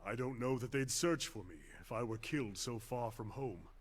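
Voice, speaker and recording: A man acting as an earnest male traveler in a fantasy video game. His voice sits at a middling pitch, and he speaks reflectively, as one companion talking to another on the road. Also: normal speed, close to an everyday voice.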